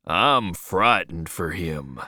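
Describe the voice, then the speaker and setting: A woman voicing a slow, puzzled old farmer man. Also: very deep voice, expressive, pushed and bright, no room sound, clean studio sound, voice pushed down, full character voice.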